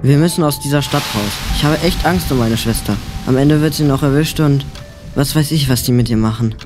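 A woman's voice: very deep voice, a little fast. A female performer playing a serious, worried boy on the run in an audio drama. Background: music.

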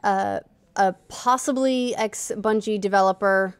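A woman's voice: medium pitch, a little slow, clean tone, no room sound. A woman in her thirties chatting casually about games as a podcast co-host, close on a studio microphone. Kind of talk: casual gaming chat. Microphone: desk studio microphone, close.

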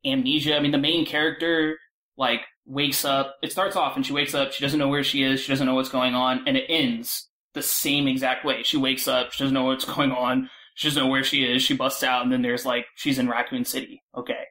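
Guy podcast guest rambling casually, echoey room sound, mid pitch. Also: flat delivery, fairly quick, pushed and bright. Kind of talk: casual chat.

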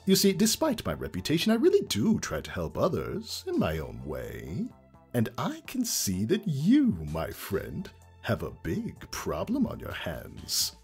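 An actor voicing a mischievous male chaos spirit in a fan fiction reading, theatrical and slyly persuasive, with a husky, raspy delivery. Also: mid-range voice, huge pitch swings, darkish tone, soft background music, heavily acted. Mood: sly, teasing. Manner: theatrical, drawn-out, swooping delivery.

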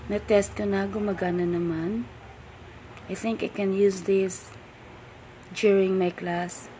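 Slow, flat-voiced woman teacher. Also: mid-range voice, a little hiss or hum, poor audio. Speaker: teacher reviewing a product. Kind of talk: casual product review.

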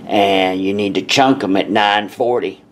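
Slow, blunt man talking shop. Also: on the low side, steady tone. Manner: blunt, plain-spoken, deliberate. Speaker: parts seller explaining brakes. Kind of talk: casual shop talk to camera. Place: indoor parts workshop.

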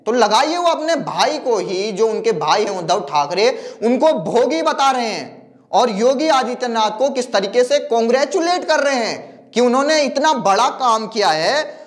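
Young guy doing news commentary to camera, high voice, lapel mic, lots of numbers. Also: very animated, normal speed. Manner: talks directly to the viewer, gesturing with a phone in hand; reels off long lists of figures. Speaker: news presenter. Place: dark studio backdrop, indoors.

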